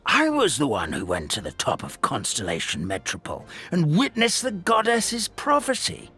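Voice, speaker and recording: A man's voice: on the high side, huge pitch swings, moderate pace, sharp tone, husky, faint music behind, heavily acted. An actor playing a kindly, grandfatherly old forest elder who stammers and speaks wistfully and fondly. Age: older. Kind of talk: game cutscene voice acting.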